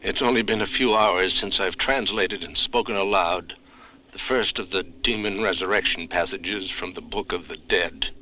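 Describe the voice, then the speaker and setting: An actor playing a fearful, guilt-ridden professor making a grave, formal confession in a horror film's recorded log. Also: male voice, low-pitched, moderate pace, noisy background, close to an everyday voice. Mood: fearful remorse. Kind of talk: scripted horror film recorded-log narration.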